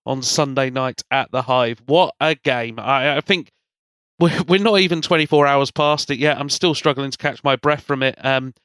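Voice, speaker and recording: A man's voice: medium pitch, moderate pace, a bit bright, smooth, no room sound. A man with a congested, cold-affected voice hosts a sports podcast, recapping matches in a rambling, enthusiastic, conversational way.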